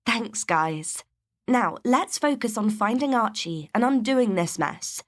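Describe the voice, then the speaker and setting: Earnest heroine, played by a woman, fairly high. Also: lively intonation, slow. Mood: determined. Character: earnest, level-headed British heroine, determined and encouraging. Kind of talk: scripted character dialogue.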